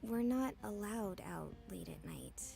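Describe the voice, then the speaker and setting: Gossipy young village girl, lively swooping pitch with vocal fry, teasing, knowing game character. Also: a little slow, faint music behind. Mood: dismissive. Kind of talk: visual novel voice acting.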